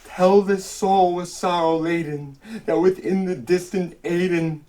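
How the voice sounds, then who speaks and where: A man in his thirties giving a theatrical, intense recitation of gothic horror verse in a living room. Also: fairly high pitch. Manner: melodramatic, intense, swinging from hushed to shouted. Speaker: performer reciting a poem. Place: carpeted basement living room with low tiled ceiling.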